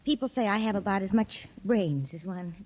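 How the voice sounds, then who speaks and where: A woman's voice: medium pitch, moderate pace, darkish tone, lightly acted. An actress playing a fluttery, scatterbrained, wistful eccentric woman in 1940s radio drama. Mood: airy, playful. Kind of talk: 1940s American radio acting.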